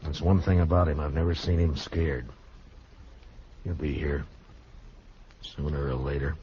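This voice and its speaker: An actor playing a stern, laconic frontier marshal with quiet authority in a 1950s radio western. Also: male voice, very deep voice, steady tone, unhurried. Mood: quiet, grim. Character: stern, steady frontier marshal, adult man. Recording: muffled noisy 1950s radio recording.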